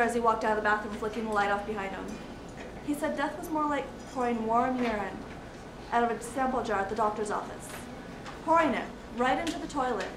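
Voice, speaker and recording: A woman with a fairly high voice recites a written piece. She is some distance from the microphone in an echoing room, with clear noise behind her. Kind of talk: recitation of poetry.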